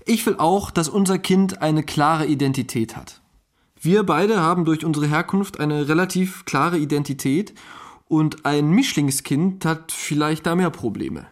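A man's voice: fairly high pitch, unhurried, lightly acted. An actor playing an easygoing, wry German husband and father-to-be in a naturalistic, conversational radio play. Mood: earnest.

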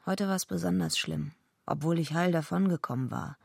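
A woman's voice: fairly low pitch, steady tone, normal speed, vocal fry, a little mellow, husky, dry recording, studio quality, exaggerated voice. An actress playing a tough, bitter female wrestler who tells her own story brashly in a German radio drama. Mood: subdued, weary. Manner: brash, foul-mouthed. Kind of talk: German radio drama, first-person narration and dialogue.

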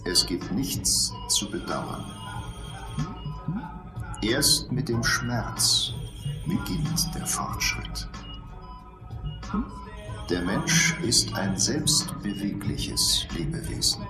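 A low-voiced male coach, extremely slow and sententious, declaiming with animated intonation. It is an echoey radio play with music behind him. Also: heavily acted. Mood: pompous. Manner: declaiming aphorisms. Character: pompous, philosophizing male athletics coach. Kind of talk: German radio drama acting.

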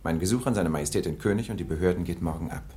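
An actor playing a haughty, decisive English lord in a German radio drama, speaking in a grand, formal, theatrical manner. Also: male voice, sits low, rapid-fire, dark tone, slight background noise, natural voice. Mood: grandly resolute.

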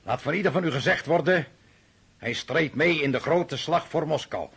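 A man acting an imperious, brooding French emperor on campaign in a radio play, with a commanding, declamatory manner and a husky voice. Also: a little high, normal speed, a bit bright, no echo, heavily acted. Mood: proud, commanding.

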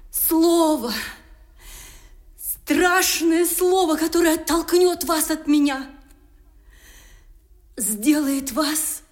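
An actress playing a timid, guilt-ridden young woman in a Russian radio drama, speaking in a hesitant, anguished way. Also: high-pitched. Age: young adult.

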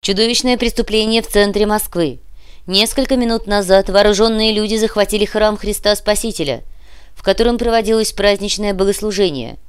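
Woman playing an urgent, high-pitched radio newsreader. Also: fairly quick, clean tone, no echo, clean studio sound, lightly acted. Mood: urgent, alarmed. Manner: raised, emphatic, formal bulletin delivery. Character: urgent radio news reporter announcing breaking news. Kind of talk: news bulletin acted within an audiobook.

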